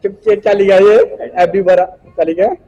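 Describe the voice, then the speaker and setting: A young man talks in a slightly high but mellow voice. He rambles in casual, joking slang as he vlogs from a gym, with some music behind him. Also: fairly even intonation, moderate pace, muffled recording. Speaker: gym vlogger. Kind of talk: casual vlog chat, teasing banter with friends.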